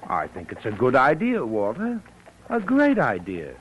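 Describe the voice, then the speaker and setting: An actor playing a smooth, pushy small-town miner in an old-time radio drama, folksy and insistent. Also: male voice, mid-range voice, huge pitch swings, measured pace. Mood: casual, sly. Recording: noisy vintage radio recording.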